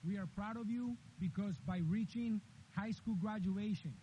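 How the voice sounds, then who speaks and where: Commencement speaker, man, high mellow voice, dull hissy lo-fi recording. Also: steady tone, moderate pace. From Honduras. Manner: earnest, repetitive emphatic phrasing. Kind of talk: graduation speech. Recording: dull lo-fi with faint hiss.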